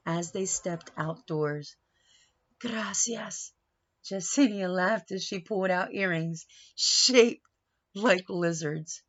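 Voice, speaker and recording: A woman reading a picture book aloud in an animated, dramatic manner, pausing for asides and questions to young listeners. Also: fairly low pitch, lo-fi sound. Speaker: read-aloud storyteller.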